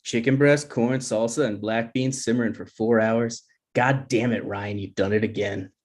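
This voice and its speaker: A man's voice: medium pitch, fairly even intonation, moderate pace, darkish tone, lightly acted. A touchy, joking boyfriend of twenty-six, played by a man at a table read. He has a mid-range voice and a casual, self-deprecating way of talking. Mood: awkward, defensive. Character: Ryan, sensitive, jokey twenty-six-year-old boyfriend.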